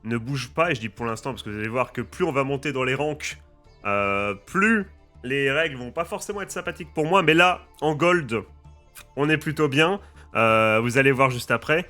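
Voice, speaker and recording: A man with a slight head cold, casually explaining a game challenge's rules to his viewers in a lively, chatty way, with faint music playing behind him. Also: mid-range voice, normal speed. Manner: lively, addresses viewers as friends and guys. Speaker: gaming YouTuber and streamer.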